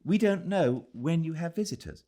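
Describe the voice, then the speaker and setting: A man's voice: a little high, expressive, normal speed, mellow, pitched above the performer's own voice, natural voice. A man voicing an eager, naive young Englishwoman in an audiobook, gushing and polite, with a British accent.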